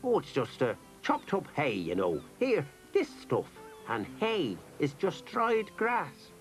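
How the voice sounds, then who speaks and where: Old Irish storyteller: playful, lilting, very animated pitch, faint music bed. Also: male voice, takes it slowly, full character voice. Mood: reassuring. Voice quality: lilting, playful, spins tall tales. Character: kindly teasing old Irishman, a donkey keeper. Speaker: storyteller character. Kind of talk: children's drama acting.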